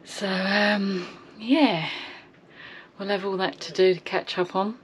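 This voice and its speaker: A middle-aged woman with a medium-pitched voice talks casually, as if to friends on a travel vlog. Her delivery is chatty and informal, recorded on a nearby camera with light outdoor noise. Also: fairly even intonation, slow. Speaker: travel vlogger. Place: mostly outdoors on open clifftop.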